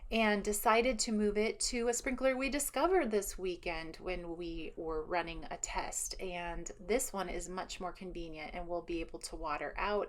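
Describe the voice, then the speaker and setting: Chatty woman giving an enthusiastic garden tour. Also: fairly low pitch. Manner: enthusiastic, rambling, thinking aloud. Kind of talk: casual chatty walk-around narration. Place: outdoors in a home garden.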